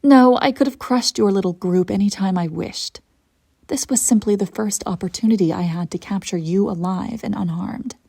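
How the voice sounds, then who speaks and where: Woman acting a haughty, husky evil empress. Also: mid-range voice, dark tone, dry recording, studio quality. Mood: taunting, intimate. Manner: theatrical, haughty, deliberate phrasing. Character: imperious evil empress, coolly seductive and menacing. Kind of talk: scripted audio roleplay acting.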